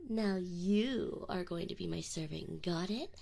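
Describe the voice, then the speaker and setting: A woman's voice: fairly low pitch, a little slow, gravelly, dark tone. A woman voicing a teasing, flustered but affectionate succubus in an intimate ASMR roleplay, close to the microphone with whispered asides. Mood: teasing, smug. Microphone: close binaural microphone.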